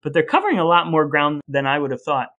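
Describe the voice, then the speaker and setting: A man's voice: on the high side, sing-song, fast talker, a bit bright, lo-fi sound. A man co-hosting a podcast, going through researched facts in an explanatory way. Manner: explanatory, laughs at his own points. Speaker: podcast co-host. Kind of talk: casual chat, reading out researched facts.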